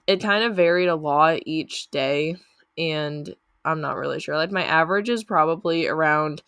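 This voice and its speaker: A woman's voice: deep voice, fairly bright tone, no room sound, studio quality. A young woman talking casually through her channel earnings, chatty with lots of fillers, her voice a little off from a lingering cough. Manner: chatty, uses many fillers like "like" and "um". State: lingering cough after illness. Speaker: YouTuber reviewing channel earnings. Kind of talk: casual screen-share walkthrough.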